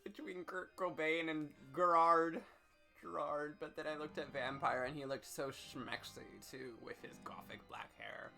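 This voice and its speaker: A man with a bright, forceful voice reads fan fiction aloud as comedy. His delivery is theatrical and heavily emphatic, over music in the background. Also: medium pitch. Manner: theatrical, emphatic, with sudden shouts. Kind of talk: over-dramatic comedic reading.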